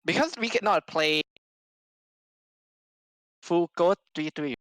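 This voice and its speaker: A man with a smooth, clear voice and a dry, close sound chats casually as a podcast guest. He is a non-native English speaker who builds short, halting, simple phrases. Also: on the high side, fairly bright tone, no room sound. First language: not English. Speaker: podcast guest, esports player. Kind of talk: casual chat.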